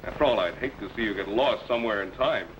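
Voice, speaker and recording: An actor playing a loyal, cautious sidekick assistant with a folksy manner. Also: male voice, fairly low pitch, fast, natural voice. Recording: distant noisy old radio recording.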